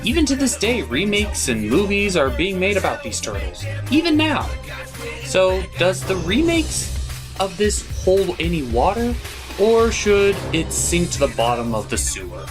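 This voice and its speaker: A man voicing a flustered, exasperated puppet host of a cartoon review show, halting and apologetic in a scripted comedy skit. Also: sits high, huge pitch swings, music in the background, full character voice. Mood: presenting.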